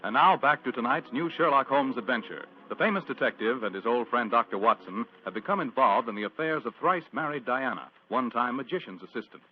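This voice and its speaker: A man's voice: mid-range voice, brisk, hardly any pauses. A man announcing and narrating an old-time radio drama in a scripted style. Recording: dull, noisy old radio recording.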